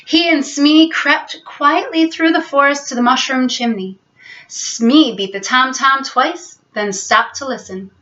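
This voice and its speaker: A woman storyteller giving an expressive, scripted narration of a children's bedtime story. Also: a little high, highs cut off.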